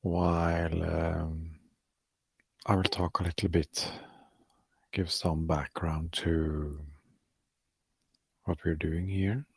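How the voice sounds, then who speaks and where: A man leading a guided meditation, speaking softly and calmly in short, halting phrases with long pauses. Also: sits low, creaky, husky, dry recording.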